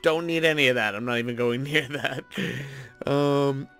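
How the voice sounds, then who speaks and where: A man's voice: medium pitch, moderate pace, a bit bright. A man hosting a gaming video with casual, playful, chatty commentary in an off-the-cuff way. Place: indoor voice-over recording over gameplay. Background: background music.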